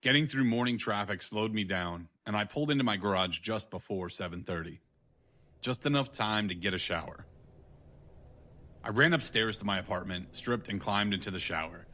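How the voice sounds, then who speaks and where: Guy doing a noir tough guy, blunt n wry, lowish voice with a hard edge. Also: moderate pace, sharp tone. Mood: matter-of-fact. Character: tough, wry male hard-boiled gun for hire. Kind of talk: audio drama acting and first-person noir narration.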